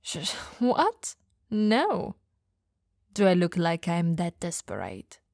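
A gravelly, breathy vampire lady, played by a woman in an ASMR roleplay, speaking slowly and often sinking into whispers. She is teasing and in command, yet coaxing and caring, with a playfully condescending edge. The sound is close and dry. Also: lowish voice, wildly swooping pitch, darkish tone, dry recording, exaggerated voice. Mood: wry, defensive. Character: teasing, dominant but caring female vampire. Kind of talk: ASMR audio roleplay, addressed to the listener.

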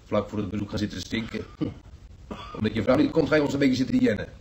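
A man's voice: lowish voice, quick pace, darkish tone, a little hiss or hum, lightly acted. Actor as cheeky, bantering male patient. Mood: teasing. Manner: bantering, breezy slang. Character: cheeky, teasing long-haired male hospital patient. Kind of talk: radio play acting.

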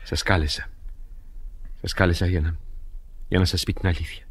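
A man's voice: low voice, flat delivery, slightly rough. A man playing an anxious accused husband. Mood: pleading. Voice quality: anxious, pleading. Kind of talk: radio drama acting.

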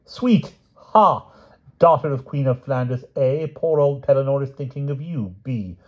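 A dithering, old-fashioned king from a storybook, eager and blustering with upper-class tics. The voice is pitched high and a little husky, on dull lo-fi audio. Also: lively intonation, a little slow. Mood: earnest, hopeful.

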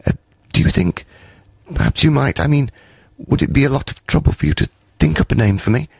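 Man doing small timid critter for a storybook: high, eager, stammery, husky. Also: sing-song, fairly quick, a little mellow, no room sound, exaggerated voice. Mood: eager shy. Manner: breathless, stammering, pleading. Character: tiny shy eager furry creature, admiring.

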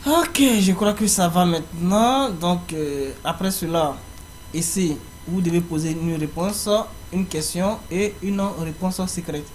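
A young man giving a screen-recorded walkthrough of an online application, pausing often with fillers as he explains each step. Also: high-pitched. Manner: halting, frequent fillers. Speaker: tutorial presenter. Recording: faint background noise.

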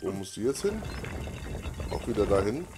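A man's voice: on the low side, big pitch swings, normal speed. A man giving casual let's play commentary, muttering to himself with playful asides. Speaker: gaming YouTuber, let's player. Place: voice-over recorded over gameplay. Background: game music.

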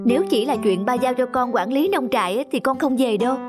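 Woman acting anxious, high-voiced young son. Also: fast, lightly acted. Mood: reluctant. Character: busy, reluctant young office-working son. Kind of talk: cartoon dubbing. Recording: music under the dialogue.

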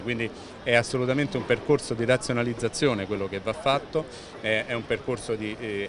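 A man in his fifties with an Italian accent, giving earnest, fluent interview answers into a handheld microphone in a large indoor expo hall, with frequent "eh" fillers and restarts. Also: on the low side. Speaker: president of an environmental organization. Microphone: handheld reporter microphone held close. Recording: noisy venue background.

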